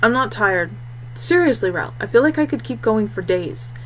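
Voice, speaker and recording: A woman voicing a quiet, self-possessed young male scout in an audiobook, understated and matter-of-fact. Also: a little high, expressive, normal speed, slight background noise, close to an everyday voice, poor audio. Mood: calm, dry.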